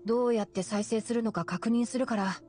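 A woman voicing a calm, knowledgeable young male scholar in an anime game, speaking in a composed, explanatory way. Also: on the high side, fairly quick, close to an everyday voice. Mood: calm, explaining. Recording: game music under the voice. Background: game music.

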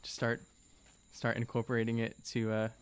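Young man playing a tabletop game, medium pitch. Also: completely flat delivery, normal speed, clear, resonant tone. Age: young adult. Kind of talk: casual improvised game chat. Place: small studio room, indoors. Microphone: close podcast microphone on a stand.

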